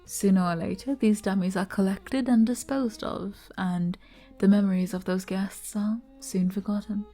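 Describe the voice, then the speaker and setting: A woman playing a flirty, gentle arbiter bartender in an ASMR roleplay, soft-spoken and coy as she explains things patiently. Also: mid-range voice, fairly even intonation, normal speed, soft background music, close to an everyday voice.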